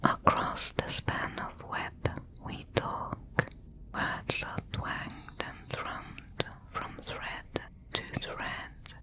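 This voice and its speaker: A woman's voice: drawn-out words, pushed and bright. A woman voicing a wary, territorial giant spider guard in an ASMR roleplay, speaking in a stern, clipped whisper.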